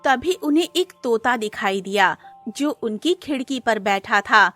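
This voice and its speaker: A woman narrates a scripted cartoon tale at a slow, measured pace. Her voice is fairly high, with music playing underneath. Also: lively intonation, bright, forceful tone. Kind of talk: scripted children's-style story narration.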